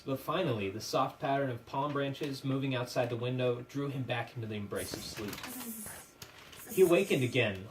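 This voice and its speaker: A man narrating a puppet show as dramatic storytelling, in a measured, theatrical, literary manner. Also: medium pitch. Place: live puppet show performance, room. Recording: distant microphone with faint room noise.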